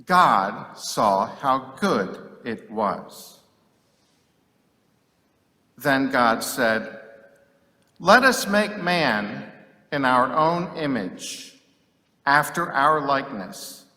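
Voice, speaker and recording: A man reading scripture solemnly and dramatically, with deliberate, declamatory phrasing and long pauses. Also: medium pitch, sing-song, pushed and bright. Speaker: scripture reader. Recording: echoey hall with long reverb.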